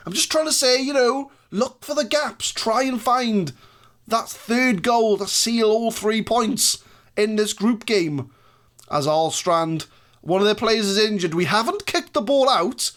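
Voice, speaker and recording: A man's voice: high-pitched, a bit bright. A man giving casual, jokey, self-mocking commentary over a football management game. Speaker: gaming YouTuber. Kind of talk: casual Let's Play commentary.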